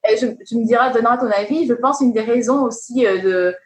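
A woman hosting an interview, asking questions slowly, with many pauses and fillers as she searches for her words. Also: fairly high pitch, a little mellow, slight background noise. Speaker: interview host. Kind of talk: conversational interview. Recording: roomy sound with faint music.

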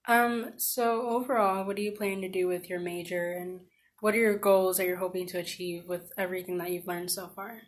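A woman's voice: on the low side, fairly even intonation, normal speed. A young woman, a student podcast host, asking friendly interview questions with some ums. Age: young adult.

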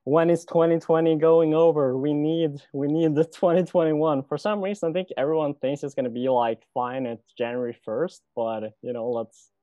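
A man's voice: fairly high pitch, fairly even intonation, moderate pace, mellow, dry recording. A man hosting an interview, chatty and loose, filling his speech with "you know" and "like". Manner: rambling, many "you know" and "like" fillers. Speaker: host. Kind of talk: casual interview. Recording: dry, dull lo-fi audio.

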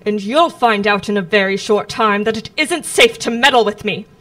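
An actor playing a crooked, blustering householder in a radio drama, indignant, blunt and menacing. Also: male voice, high voice, moderate pace, bright, forceful tone. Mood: threatening. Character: blustering, threatening crooked householder, a male villain.

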